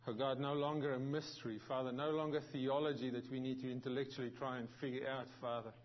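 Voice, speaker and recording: A man's voice: mid-range voice, level tone, muffled recording. A man from South Africa with a South African accent tinged by New Zealand, preaching as a visiting pastor with frequent restarts and fillers. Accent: South African with some New Zealand. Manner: halting, many restarts and fillers. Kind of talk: sermon.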